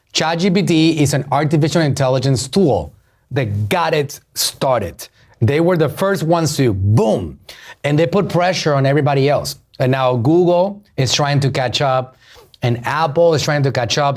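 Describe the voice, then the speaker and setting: Emphatic Puerto Rican marketer, higher-pitched. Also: male voice, darkish tone. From Puerto Rico. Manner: emphatic, repeats key phrases, asks the listeners questions. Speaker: podcast host, marketer. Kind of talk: motivational business talk to an audience.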